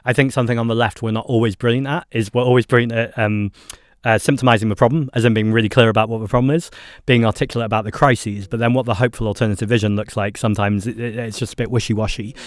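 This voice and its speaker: A man's voice: mid-range voice, no room sound, clean studio sound. A man from Manchester with a Mancunian accent, a guest on a podcast talking fluently and animatedly in casual conversation. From Manchester, England. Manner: fast, fluent, animated with laughs and asides. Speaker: guest politician.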